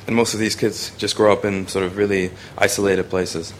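A man's voice: on the low side, level tone, fairly quick, slight background noise. A young man from Hong Kong giving a rambling, casual presentation of his photography, with many ums and restarts.

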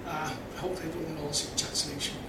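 A man with a Hong Kong accent, a native Cantonese speaker, speaking bluntly and haltingly as a panelist. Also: on the high side, flat delivery. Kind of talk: panel speech. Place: panel event hall. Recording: echoey hall from far off, background noise.

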